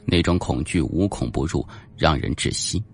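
A man with a low, gravelly voice reads a suspense novel aloud as an audiobook narrator. His delivery is dramatic and animated, moving widely in pitch, over faint music. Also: normal speed.

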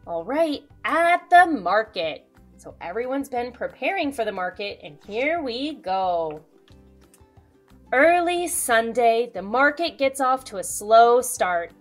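A woman in her thirties, a children's host reading a picture book aloud with expressive storytelling delivery. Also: a little high, slow, faint music behind. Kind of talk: storybook read-aloud with asides to young viewers.

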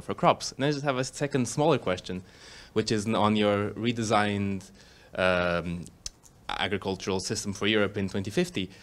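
A man from Portugal asking a question at a lecture, careful and hesitant in his phrasing. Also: lowish voice. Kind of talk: audience question.

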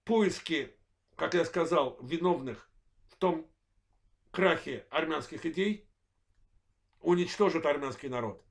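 A man in his forties from Azerbaijan with a worn voice, delivering a political analysis monologue in measured, halting short phrases as host of his own channel. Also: a little high, expressive, creaky. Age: 40s. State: voice worn, apologizes for it.

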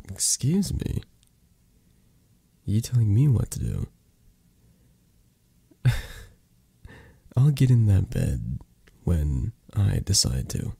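Man acting a dark, husky mafia boss lover. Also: dry recording, heavily acted. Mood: stubborn, teasing. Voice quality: breathy, husky, low.

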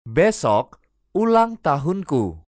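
A woman reading short phrases slowly and clearly, each repeated for language learners. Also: sits low, sing-song, a little mellow, dry recording, clean studio sound. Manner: careful, clearly enunciated. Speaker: native Indonesian speaker reading phrases.

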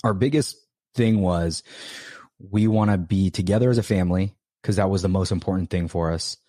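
A man's voice: sits low, moderate pace, dark tone, no echo, studio quality. A man in his thirties chatting as a podcast guest, easygoing, laughing often and rambling with restarts. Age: 30s. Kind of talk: casual interview chat.

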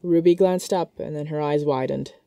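Female story narrator, mellow tone, close dry recording. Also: low voice, fairly even intonation, moderate pace, no room sound. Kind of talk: scripted narration of a fan fiction story.

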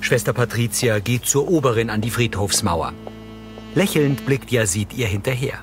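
Man, scripted scene narration, medium pitch, prominent music underneath. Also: moderate pace. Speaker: audio description narrator. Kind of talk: scripted narration, present tense.

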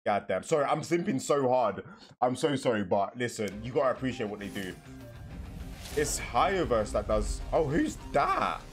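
A man's voice: mid-range voice, moderate pace. A young man giving casual, exclamatory live reaction commentary, slangy and full of swearing. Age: young adult. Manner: expressive, exclamatory, slangy, profane. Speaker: reaction video host. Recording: background game music under voice.

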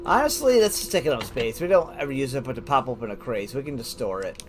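A man's voice: mid-range voice, big pitch swings, quick pace, over music. A man giving casual, informal gameplay commentary as a let's play host, thinking aloud and reacting as he goes.

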